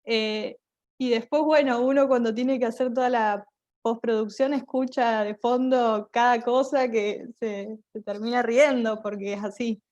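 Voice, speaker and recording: An Argentine woman with a fairly high voice talks as an interview guest. Her delivery is informal and anecdotal, like someone recounting her own experiences. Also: fairly even intonation, a little slow, a little mellow, muffled recording. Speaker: guest, radio theatre maker.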